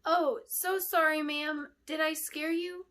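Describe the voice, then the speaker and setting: A woman voicing an eager, curious little girl apprentice in a fantasy storybook, excitable and full of questions. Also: high-pitched, slow, voice pushed up. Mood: apologetic.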